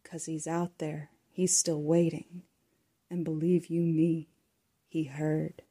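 Hushed, mellow-voiced woman from Texas. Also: low voice, flat delivery, slow pace, a little hiss or hum. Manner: hushed, conspiratorial, sometimes whispering. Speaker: poet reading her own poem. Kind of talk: rhythmic poetry reading.

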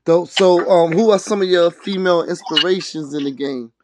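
A man's voice: high voice, normal speed, noisy background. A man hosting a casual hip-hop interview, speaking in slang and often repeating his words and phrases. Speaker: podcast host interviewer.

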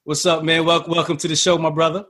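A man hosting an interview podcast, talking in a relaxed, casual way with lots of fillers and restarts. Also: on the high side, fast. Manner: laid-back, many fillers and restarts. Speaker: podcast host.

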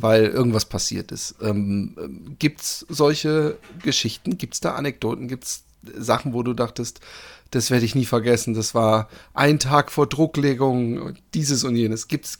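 A man's voice: medium pitch, gravelly. A man hosting a podcast, asking long, winding questions with many restarts and fillers. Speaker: podcast host. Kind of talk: casual podcast interview.

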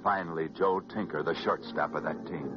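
An American man telling stories as a 1940s radio host, scripted and dramatic, with emphatic repetition and weighty pauses. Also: very deep voice, steady tone. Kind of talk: 1940s radio sports storytelling, scripted narration. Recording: muffled vintage 1940s radio recording. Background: music.

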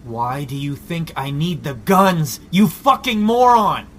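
A man's voice: on the high side, slow pace, slight background noise. An actor playing a defiant, foul-mouthed civilian survivor, a combative man in a zombie audio drama. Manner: combative, profane.

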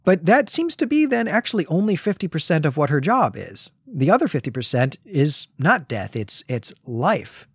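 A man's voice: fairly high pitch, sing-song, moderate pace, few pauses, husky, no echo, studio quality. A man co-hosting a literary podcast, explaining and analysing in a conversational way, often checking his point as he goes. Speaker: podcast co-host. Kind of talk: conversational literary discussion of a comic.

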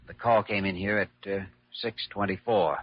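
A man acting an earnest, compassionate hospital doctor in a classic radio drama, speaking in a measured way. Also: sits low, steady tone. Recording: muffled, humming old radio recording.

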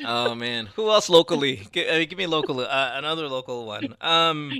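A Filipino man hosting a call-in podcast, his voice bright and gravelly with a slight cough. He swings between excited bursts and hushed asides, and the sound is close and dry. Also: a little high, normal speed, dry recording. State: has a cough. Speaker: podcast host. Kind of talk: casual call-in chat.

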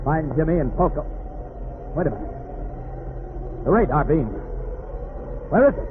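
Heroic superhero in an old radio serial, urgent and dramatic, high voice, really noisy tinny recording. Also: male voice, animated, measured pace. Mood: desperate, searching. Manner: dramatic, urgent self-talk with bold exclamations. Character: heroic flying superhero, urgent, commanding, earnest. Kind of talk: 1940s radio drama acting. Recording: noisy, narrow-band vintage radio recording.

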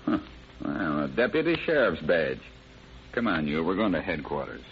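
An actor playing a brusque, commanding police officer in an old radio crime drama. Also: male voice, a bass voice, very animated, normal speed, loud music behind the voice. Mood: gruff, searching. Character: tough, no-nonsense male police officer. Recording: muffled old radio recording with hiss.

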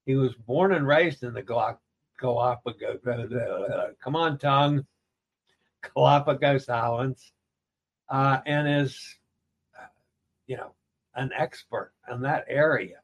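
A man's voice: medium pitch, unhurried, pauses often. A man hosting an interview podcast, chatty and joking, who often trips over his words. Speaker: podcast host.

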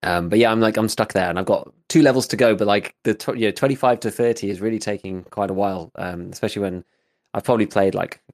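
A man co-hosting a gaming podcast in casual chat, with a slight gravel in his voice, rambling with lots of fillers. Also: fairly low pitch, fast. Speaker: podcast co-host, gaming YouTuber.

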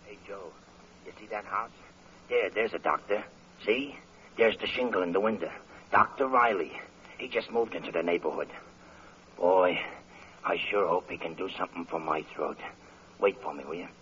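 An actor playing a nervous, easily alarmed American patient in a 1940s radio comedy, jittery and stammering. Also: male voice, sits low, normal speed, pauses often, pushed and bright. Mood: hopeful. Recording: muffled, noisy vintage radio recording.

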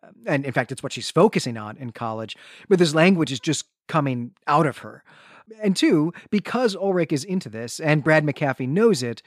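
A man co-hosting a podcast, retelling and discussing a story in a casual, animated way with a readiness to chuckle. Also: on the high side, moderate pace, dry recording, clean studio sound. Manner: animated storytelling, often chuckling through sentences. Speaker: podcast co-host. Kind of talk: casual conversational literary discussion and plot retelling.

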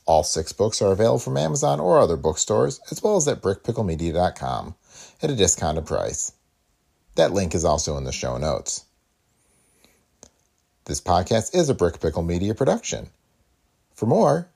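A man with a gravelly, husky voice reading a scripted podcast introduction as host. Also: low-pitched, a little mellow.

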